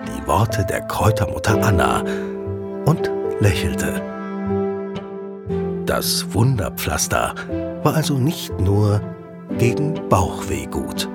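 A man's voice: lowish voice, big pitch swings, slow pace, vocal fry, pauses often, over loud music. A man narrating a scripted audio drama as a storyteller.

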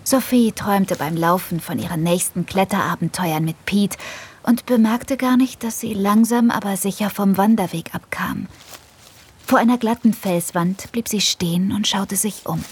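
Expressive woman narrating a story. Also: mid-range voice, moderate pace, breathy edge. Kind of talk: scripted children's audio story narration.

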